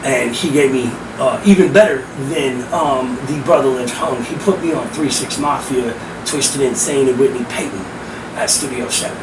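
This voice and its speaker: A middle-aged man with a hoarse, powerful voice, telling stories emphatically as a podcast guest. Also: medium pitch, moderate pace. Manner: animated, emphatic, profane. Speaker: guest, rap host and promoter. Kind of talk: casual podcast storytelling. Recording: roomy, distant mic with air-conditioning hum.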